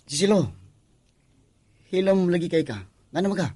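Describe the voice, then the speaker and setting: An actor playing a gossiping household worker in a radio drama, with a Visayan Filipino accent. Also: male voice, high-pitched, normal speed, warm tone, slightly rough, no echo. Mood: gossipy.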